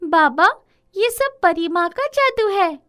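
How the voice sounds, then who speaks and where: Casting: innocent village girl, very high voice, earnest, eager, inquisitive storybook character. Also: expressive, slow, bright, forceful tone, heavily acted. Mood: cheerful.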